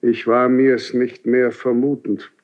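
An actor as a slow, imperious old inquisitor. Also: male voice, mid-range voice, level tone, natural voice. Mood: cold authority. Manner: measured, severe, deliberate declamation. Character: stern, imperious, aged Cardinal Inquisitor. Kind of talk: classical German verse stage drama. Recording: slightly dull archival radio drama recording.

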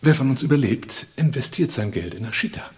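An actor playing a sardonic, gruff husband and reluctant leader in a radio drama, speaking and narrating in a dry, deadpan way. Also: male voice, mid-range voice, brisk, natural voice. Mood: dry, wry. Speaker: actor and first-person narrator. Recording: noisy 1980s radio play production.

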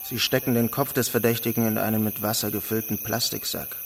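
A man's voice: fairly low pitch, fairly even intonation, brisk, gravelly, slight background noise. An actor playing a grave man in his mid-thirties who reports in a terse, matter-of-fact way in a radio drama.

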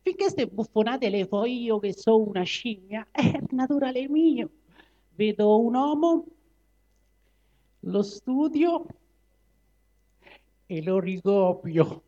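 A man voicing a proud, indignant female monkey in theatrical verse with a Roman accent and drawn-out emphatic words. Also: extremely high pitch, lively intonation, warm tone, muffled recording, pitched above the performer's own voice, exaggerated voice. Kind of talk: dramatic recital of dialect verse.

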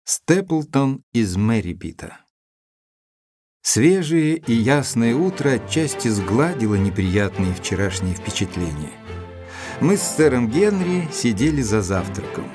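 An actor playing a thoughtful gentleman investigator, narrating a mystery in a measured, deliberate literary style. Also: medium pitch, very animated, over music. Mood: measured, suspicious. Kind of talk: dramatized first-person literary narration.

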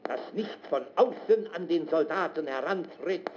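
A man's voice: a little high, animated, measured pace, a little mellow. A man playing a stern, preaching father figure who demands obedience, solemn and declamatory, in a German radio drama. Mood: solemn exhortation. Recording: muffled, noisy recording.

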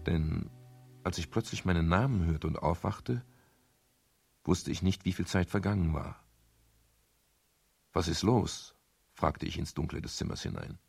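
A restrained, gentlemanly detective in a radio crime play. His middle-aged voice sits very deep and has a gravelly grain, with formal, measured phrasing. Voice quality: gravelly, husky. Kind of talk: German radio crime drama narration and dialogue.

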